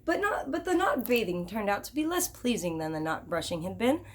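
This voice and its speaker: Animated woman reading a children's storybook. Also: mid-range voice, fairly quick. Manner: animated, with chatty asides to listeners. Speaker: teacher reading a picture book to children. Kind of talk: expressive children's storybook read-aloud.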